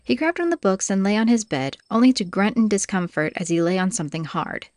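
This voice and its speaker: A woman's voice: mid-range voice, lively intonation, fast, a little mellow, no room sound. A woman reading scripted fantasy audiobook narration as the storyteller.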